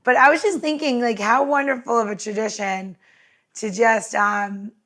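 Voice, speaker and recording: A woman's voice: on the high side, fairly even intonation, normal speed, a bit bright. A woman in casual podcast chat, rambling with lots of filler words.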